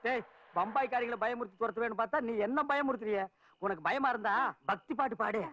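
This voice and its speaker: A bossy, excitable blusterer in a broad comedy film. He has a very high voice for a man and a scolding, exclamatory delivery, on a dull, muffled soundtrack. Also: fast. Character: blustering, bossy, easily panicked elder man.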